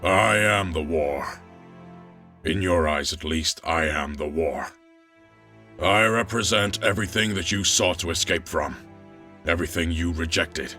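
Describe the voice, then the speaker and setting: A man performs a noble robot commander with an extremely deep voice, weary and resigned. He speaks in measured, solemn oratory for an audio drama, sounding set back from the mic with music clearly underneath. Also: pushed and bright, recorded from a distance. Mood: solemn, resolute.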